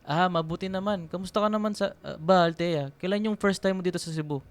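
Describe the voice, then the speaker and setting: An actor playing an easygoing young man in a radio drama, casual and gently teasing. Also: high-pitched, fast talker, clean tone.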